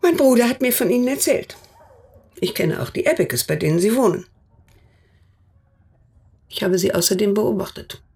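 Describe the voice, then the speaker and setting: An actress playing a stern, protective older sister in a German radio drama, speaking bluntly and formally, as if interrogating, with a husky, gravelly voice. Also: female voice, mid-range voice, animated, normal speed, long pauses, a little mellow. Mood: cool, firm.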